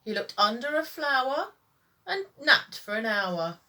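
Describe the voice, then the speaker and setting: Animated woman reading a children's story. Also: high voice, a little slow.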